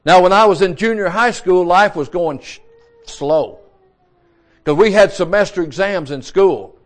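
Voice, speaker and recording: A man's voice: high voice, unhurried. A man in his sixties, a pastor, preaching a sermon in a folksy, repetitive way, restarting his words. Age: 60s.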